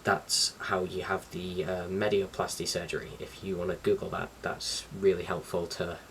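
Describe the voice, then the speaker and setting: A man's voice: sits low, flat delivery, normal speed, vocal fry, a bit bright. A young man talking casually to camera as a vlogger, in an informal, slightly hesitant and rambling way. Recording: distant mic with faint background noise.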